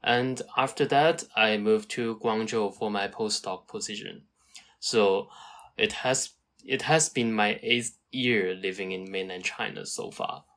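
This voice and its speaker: Hesitant Hong Kong man, lowish voice. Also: a little slow, fairly bright tone. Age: early 30s. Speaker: podcast guest, climate scientist. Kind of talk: conversational interview answers.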